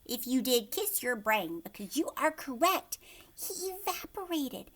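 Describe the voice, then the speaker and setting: Lady teacher doing a kids read-aloud, really slow, swoopy voice, asks questions, goes hushed a lot. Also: high voice. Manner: expressive, asks questions and pauses as if answered. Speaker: teacher reading aloud to young children.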